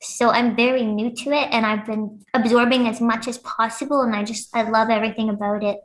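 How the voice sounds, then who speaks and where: A woman's voice: a little high, fairly even intonation, fairly quick, echoey room, poor audio. A young woman from Lebanon, a pro wrestler, chatting casually as an interview guest and laughing often. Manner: giggly, upbeat, laughs often.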